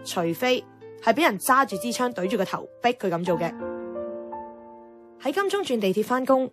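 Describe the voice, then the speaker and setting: An actress playing a frustrated, outspoken young radio worker in a Cantonese radio drama, with a Hong Kong accent and wry, expressive, colloquial delivery. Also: female voice, fairly high pitch, normal speed, close to an everyday voice. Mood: wry, discontented. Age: young adult. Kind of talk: radio drama acting with inner-monologue narration. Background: background music.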